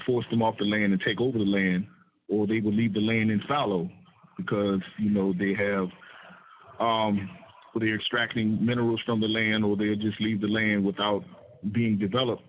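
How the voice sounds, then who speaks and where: A man leading a political discussion, explaining in a halting, didactic way. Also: fairly low pitch, level tone, lo-fi sound, a little hiss or hum. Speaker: discussion host.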